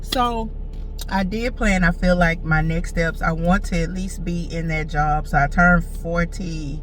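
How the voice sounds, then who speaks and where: A woman's voice: fairly low pitch, fairly even intonation, measured pace, distant mic, slight background noise. A woman, chatting casually and sassily to her viewers as a vlogger. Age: 40s. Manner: chatty, sassy, talks straight to viewers. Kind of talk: casual storytelling chat. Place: in a car.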